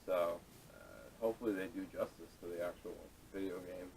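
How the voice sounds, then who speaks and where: A man's voice: fairly even intonation, a little fast, darkish tone. A man hosting a casual group chat about movies and games, recorded poorly on a camera microphone. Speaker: podcast host leading the topics. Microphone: camera microphone at a distance. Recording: distant camera microphone, noisy, rumbling.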